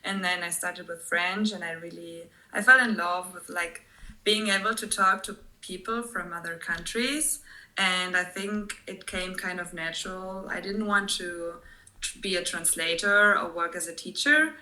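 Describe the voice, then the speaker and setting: A woman's voice: lowish voice, unhurried, bright, forceful tone, poor audio. A woman from Germany, a native German speaker with a German accent, answering conversationally as a podcast guest.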